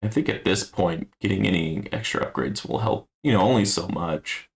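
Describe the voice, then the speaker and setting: Gravelly low-voiced man streaming a game. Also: normal speed. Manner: thinking aloud, low-key and musing. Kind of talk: casual gameplay commentary.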